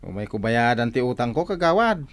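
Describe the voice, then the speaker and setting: Village captain: Ilocano accent, brisk and generous, wildly swinging pitch, close dry sound, radio comedy. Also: male voice, sing-song, no room sound. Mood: casual, businesslike. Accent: Filipino, Ilocano. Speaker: radio drama actor.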